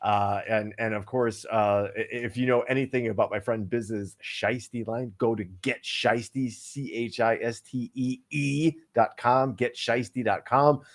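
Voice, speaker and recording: A man's voice: mid-range voice, steady tone, unhurried, few pauses. A man co-hosting a casual podcast chat, rambling with many fillers and restarts. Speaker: co-host.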